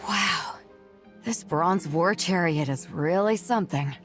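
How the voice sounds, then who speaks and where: A woman's voice: lowish voice, slow pace, over music. A woman playing a breezy, confident, big-hearted ship captain in a video game, casual and outgoing. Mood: impressed, cheerful. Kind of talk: scripted video game dialogue acting.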